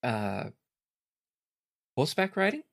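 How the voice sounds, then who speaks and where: Rpg player doing a young princess, reserved n a bit formal, hesitant, middling pitch. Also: huge pitch swings, slow, vocal fry, a bit bright, heavily acted. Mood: matter-of-fact. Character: reserved young princess, reluctant, formal, matter-of-fact about war.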